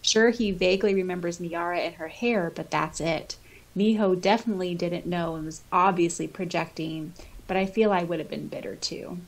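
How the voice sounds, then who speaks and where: A woman's voice: lowish voice, normal speed, low-quality recording. A woman co-hosting a podcast, reading out listener comments and chatting casually with a giggly, conversational manner. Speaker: podcast co-host.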